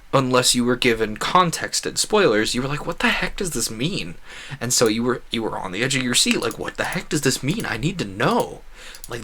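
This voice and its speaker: A man's voice: mid-range voice, fairly bright tone. A man chatting casually as he streams a game, going off on rambling, enthusiastic tangents. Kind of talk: casual chat while playing a game.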